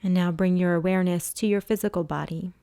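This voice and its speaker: Mellow, low-voiced woman guiding meditation. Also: steady tone, smooth, no echo, clean studio sound. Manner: soothing, hushed, unhurried instruction with long pauses. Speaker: yoga and meditation teacher. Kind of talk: guided body scan meditation.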